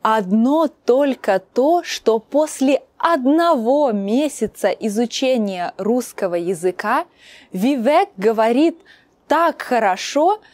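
A young woman hosting a language lesson outdoors in a garden, speaking slowly and clearly with upbeat energy. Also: a little high, dry recording. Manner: lively, upbeat, carefully articulated. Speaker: host and Russian teacher. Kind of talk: slow, clear teaching talk to camera.

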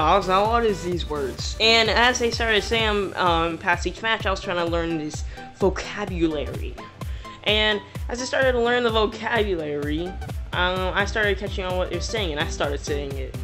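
Casual young-sounding storyteller with music behind. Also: mid-range voice. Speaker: YouTuber narrating a personal story over gameplay.

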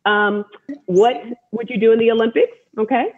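A woman's voice: fairly high pitch, steady tone, normal speed. American woman hosting over narrow-band video call. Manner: encouraging, repeats words for emphasis. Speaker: host, storytelling athlete. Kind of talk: welcoming talk to an online group. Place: room at home. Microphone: wireless earbud on video call.

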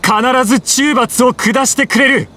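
An actor playing a zealous, dutiful samurai foot soldier in an anime game, with formal military delivery. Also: male voice, extremely high pitch, a little slow, pushed and bright, slight background noise, exaggerated voice. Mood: threatening.

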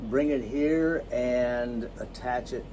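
An older man explains boat repairs in a middle-pitched voice, his manner dry and matter-of-fact with a teasing edge. A steady hum of air conditioning and traffic sits clearly behind him. He is picked up by a phone another person holds aboard the boat. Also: slow pace. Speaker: boat owner and co-host. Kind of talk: casual chat and practical explanation. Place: boat and marina dock, indoors and outdoors.

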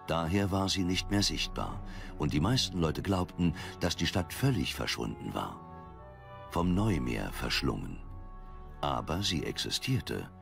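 An actor narrating a fantasy radio play as a solemn storyteller, in dramatic scripted style. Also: male voice, very deep voice, creaky, exaggerated voice.

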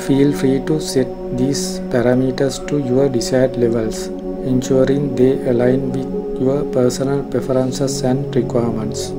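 A man reading scripted tutorial narration in a formal, measured, instructional way. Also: medium pitch, little pitch movement, over loud music. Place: voice-over for a screen recording.

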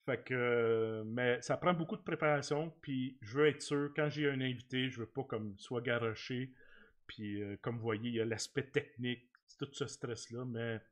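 A man's voice: medium pitch, moderate pace. A man in his forties with a Québécois accent, chatting informally and effusively as the host of a sports livestream. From Quebec, Canada. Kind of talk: casual livestream chat with guest and viewers. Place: small home studio room, indoors. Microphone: large desk microphone close up.

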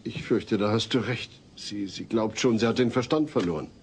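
Man as low-voiced, hesitant family dad. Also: normal speed, a little mellow, close to an everyday voice. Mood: concerned. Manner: hesitant, earnest. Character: worried, hesitant suburban family father. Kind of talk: German comedy audio drama acting. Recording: faint noise, old cassette audio drama.

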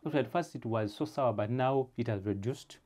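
A young man hosting a casual cooking vlog, speaking haltingly and repeating his phrases. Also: mid-range voice, a little mellow. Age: young adult. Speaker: vlog host.

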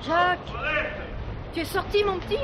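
A woman's voice: very high voice, steady tone, moderate pace. A woman playing an earthy, motherly, middle-aged market worker with a blunt, slangy manner, in a French radio drama. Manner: familiar, slangy, maternal. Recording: noisy market hall with crowd and vehicles.

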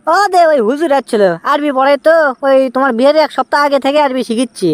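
A man's voice: very high voice, fast, a little hiss or hum. A man voicing a cheeky, evasive young newlywed husband with a Bogura Bangladeshi accent, whining out excuses in a comic cartoon. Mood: chatty excuses.

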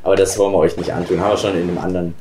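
A man in his thirties whose first language is Low German, chatting and joking as co-host of a retro game let's play. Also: level tone, racing, warm tone. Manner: jokey, chatty, asks questions. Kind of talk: casual chat over gameplay. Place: small room indoors. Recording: roomy sound, faint noise.

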